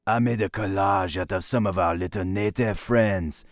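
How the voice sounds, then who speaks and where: A man's voice: deep voice, moderate pace, natural voice. An actor playing a cheeky, playful male troll warrior with a Jamaican accent in a video game. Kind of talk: video game unit quotes.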